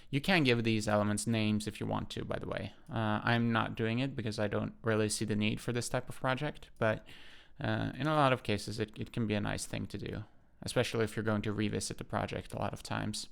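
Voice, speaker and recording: A man giving an unscripted software walkthrough, explaining step by step in a matter-of-fact way and pausing to think aloud. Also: lowish voice, steady tone, gravelly. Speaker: software tutorial presenter. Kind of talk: unscripted screen-share walkthrough.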